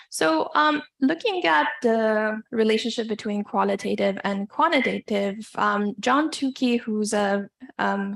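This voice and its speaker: A woman from Iran giving an academic lecture as a guest speaker, measured and hesitant, pausing often as she goes. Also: medium pitch, gravelly.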